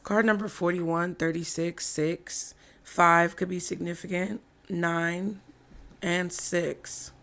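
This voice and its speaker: A hoarse woman giving a casual, chatty tarot reading to her viewers. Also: fairly low pitch, fairly even intonation, fairly bright tone. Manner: slow, chatty, laughs often. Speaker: tarot reader.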